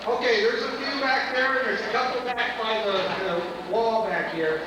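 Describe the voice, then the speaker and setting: A man with a loud voice acting as emcee at a family party, seating guests and introducing the program. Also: very high-pitched, normal speed, muffled recording, noisy background. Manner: addresses the crowd, seats people, introduces acts. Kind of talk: announcing to a room. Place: party hall. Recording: echoey hall, far from the mic, music.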